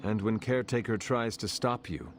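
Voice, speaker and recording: Calm, lowish-voiced male hunter played by an actor. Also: slight background noise, lightly acted. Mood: measured, reassuring. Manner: measured, earnest, slightly formal. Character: calm, resolute male demon hunter, measured and protective. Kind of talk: dramatic video game acting.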